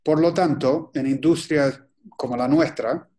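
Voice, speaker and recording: A man from Chile giving a webinar presentation over a video call, speaking in a halting, deliberate way with frequent pauses and restarts. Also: fairly high pitch, warm tone. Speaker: guest presenter.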